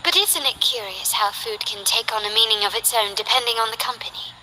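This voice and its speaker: Bright, poised young noblewoman. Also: on the high side, a little hiss or hum, rough recording. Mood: gently inviting. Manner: elegant, formal, measured. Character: poised, ambitious young noblewoman heir of an old family. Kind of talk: scripted video game character voice lines.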